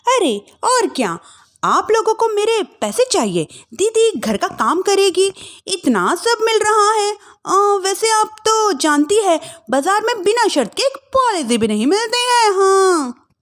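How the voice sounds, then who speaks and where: A woman voicing a bold, clever, cheeky young sister-in-law in an animated family story. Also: very high-pitched, slow pace, exaggerated voice. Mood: bold, demanding. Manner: cheeky, assertive, theatrical.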